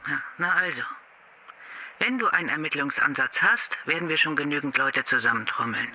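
An actor playing a matter-of-fact, dismissive police superior in a radio drama. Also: male voice, a little high, fairly quick, pushed and bright, slight background noise.

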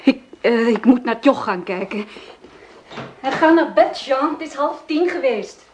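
A woman's voice: sits high, expressive, measured pace, noisy background. An actress playing a nervous young mother in a radio play, who stammers and hurries to dodge questions. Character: nervous young mother Sylvie, evasive and jumpy.

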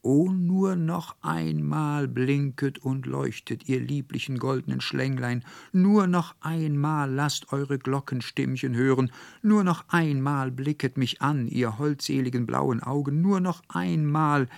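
A rapturous, despairing young student played by a male narrator in a storybook tale. He pleads with yearning in drawn-out cries, his voice lifted well above the reader's own and very dry in sound. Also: medium pitch, vocal fry, a little mellow, no echo, pitched above the performer's own voice. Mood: desperate yearning. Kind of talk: dramatic literary storybook reading.

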